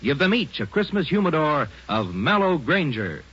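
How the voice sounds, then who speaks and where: Animated, higher-pitched radio ad man. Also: normal speed, slightly rough. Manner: emphatic sales pitch. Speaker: commercial announcer. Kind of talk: 1950s radio cigarette advertising. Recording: dull old radio broadcast, faint music.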